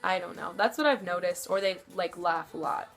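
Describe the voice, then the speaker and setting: A young woman rambles through casual advice straight to camera, her voice somewhat deep for her age. She fills every gap with easy filler words, never fully settling on a point. Faint music plays softly underneath. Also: brisk, gravelly. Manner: chatty, rambling, many fillers. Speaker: vlogger.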